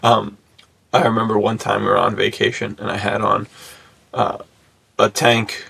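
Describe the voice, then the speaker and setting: A man co-hosting a podcast in a laid-back, slangy, conversational way. His voice is very creaky and somewhat husky. It is recorded close and dry, with little room sound. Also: on the low side, level tone, normal speed, sharp tone, dry recording. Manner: laid-back slangy talk, says "dude" often. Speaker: podcast host. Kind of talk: casual podcast chat.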